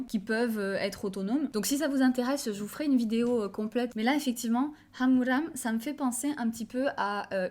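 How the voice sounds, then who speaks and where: A woman's voice: on the high side, a little mellow. A young woman talking casually about Norse history and myth for her channel, thinking aloud with frequent hesitations. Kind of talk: casual educational commentary.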